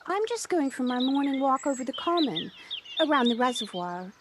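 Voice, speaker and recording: A woman's voice: sits high, big pitch swings, moderate pace. A woman playing a chatty, outdoorsy village mother in an audio drama, with a welcoming, effusive manner. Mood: friendly, inviting. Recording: noisy home recording on personal device. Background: birdsong.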